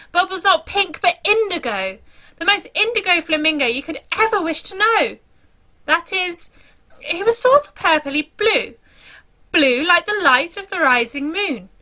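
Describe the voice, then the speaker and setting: A woman's voice: extremely high pitch, pushed and bright. A woman reading a children's story aloud in a dramatic, theatrical storytelling manner.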